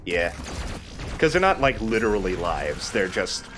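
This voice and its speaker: Male let's play host. Fairly low voice, conversational and explanatory, slight noise behind him. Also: big pitch swings, unhurried. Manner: chatty, explanatory, casual swearing. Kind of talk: casual gameplay commentary.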